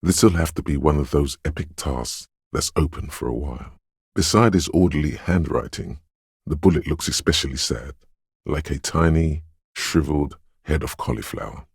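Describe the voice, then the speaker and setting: Game inner voice: dreamlike and foreboding, rock-bottom bass with heavy gravel, hushed and intimate, cryptic dramatic narration. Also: male voice, no room sound, clean studio sound, full character voice. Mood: musing, wry. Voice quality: very deep, gravelly, husky. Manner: slow, hushed, ominous, cryptic second-person pronouncements with dramatic pauses. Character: eerie inner voice of intuition, dreamlike, brooding, intimate.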